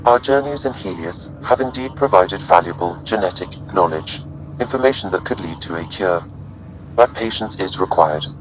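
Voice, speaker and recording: A man playing a calm, logical artificial intelligence advisor in a video game, speaking in a measured, formal, precise manner. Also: low voice, low-quality recording. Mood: measured, cautioning. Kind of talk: scripted game dialogue.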